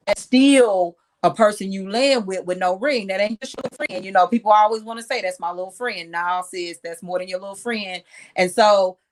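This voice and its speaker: A woman's voice: mid-range voice, normal speed, low-quality recording. Frank, folksy woman hosting a call. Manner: frank, folksy, addresses listeners as ladies and sis. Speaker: discussion host. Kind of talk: casual group discussion. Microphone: online call mic.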